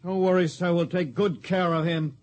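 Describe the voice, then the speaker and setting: An actor playing an earnest, deferential junior spaceship officer in a 1950s science fiction radio drama. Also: male voice, high voice, steady tone, moderate pace, dull audio, a crowd in the background, natural voice. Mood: obedient. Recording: noisy old 1950s radio recording.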